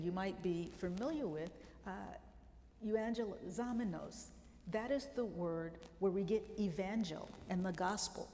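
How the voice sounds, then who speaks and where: A middle-aged woman giving a conference lecture on scripture, animated and emphatic as she builds lists. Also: mid-range voice. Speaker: biblical scholar and lecturer. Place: church hall stage, large room. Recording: long hall reverb, faint room noise.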